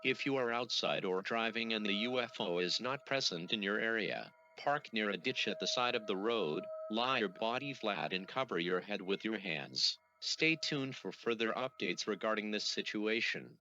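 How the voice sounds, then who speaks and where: Low synthetic male emergency announcer. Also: lively intonation, moderate pace, hardly any pauses, bright, forceful tone. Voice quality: synthetic text-to-speech voice. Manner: formal, mechanical reading. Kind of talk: scripted emergency broadcast. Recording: lo-fi audio with music behind.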